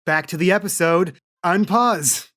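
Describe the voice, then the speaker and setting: A man's voice: high voice, a little slow, bright, forceful tone, soft background music. A man hosting a tabletop roleplay podcast as game master, reading plugs and narrating in a laid-back, joking way.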